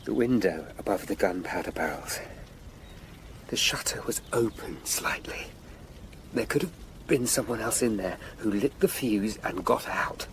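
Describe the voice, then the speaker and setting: An actor playing an anxious, sharp-minded Tudor lawyer investigating crimes in a period radio drama. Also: male voice, on the low side, unhurried, slight background noise, poor audio. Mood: urgent, deducing.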